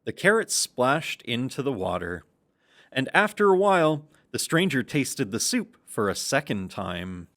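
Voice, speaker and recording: Animated male children's story narrator. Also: mid-range voice, unhurried.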